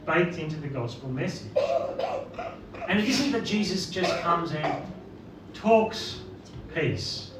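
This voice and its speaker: Animated Northern Irish preacher. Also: male voice, fairly high pitch, slow, long pauses, crowd noise. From Northern Ireland. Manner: animated, rhetorical questions to the congregation. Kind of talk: sermon. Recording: roomy, distant mic with background noise.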